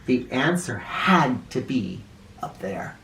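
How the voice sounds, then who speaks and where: A man reads a children's storybook aloud at an unhurried pace with lively, swinging intonation. He sounds a bit away from the mic in a very echoey room. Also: mid-range voice, vocal fry, distant mic. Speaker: children's read-aloud host. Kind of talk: animated storybook narration for children.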